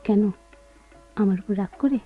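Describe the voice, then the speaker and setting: A dull lo-fi radio play with faint sitar behind an actress playing a young woman. Her voice is husky and soft, fond and coaxing in manner. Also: mid-range voice, normal speed, lightly acted. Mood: wistful. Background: sitar music.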